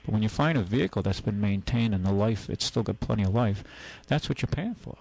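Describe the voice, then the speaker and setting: A man with a New England accent rambles through a casual radio chat about used cars. His voice is gravelly, and he keeps circling back with fillers and restarts. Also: fairly low pitch, lively intonation, a little fast, a little mellow, low-quality recording. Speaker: radio show host, car dealer.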